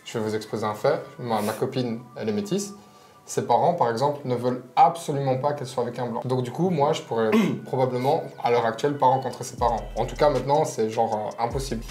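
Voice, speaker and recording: A man's voice: medium pitch, fast, dark tone, echoey room, soft background music. A man in his mid twenties speaking assertively in a casual group discussion, repeating points for emphasis. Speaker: panelist, works as a manager.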